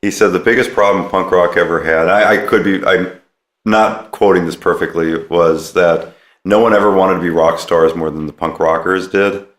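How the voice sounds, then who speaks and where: A man's voice: sits low, steady tone, normal speed, echoey room. A man hosting a podcast interview in a conversational style.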